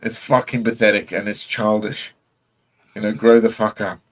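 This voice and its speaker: Guy on stream, lowish voice, fed up and sweary. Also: level tone, moderate pace. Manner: indignant, sweary. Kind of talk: casual streaming chat.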